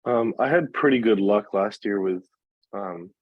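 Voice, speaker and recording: A man with a very low voice talks casually in a meeting. He is conversational and slightly hesitant, and the sound is dry and lo-fi. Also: unhurried, no room sound. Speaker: interviewer in training meeting. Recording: dull, dry lo-fi meeting audio.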